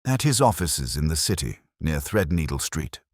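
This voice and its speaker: Man reading as uneasy, deep-voiced Victorian gentleman. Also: sing-song, moderate pace, no echo, studio quality, heavily acted. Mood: uneasy, guarded.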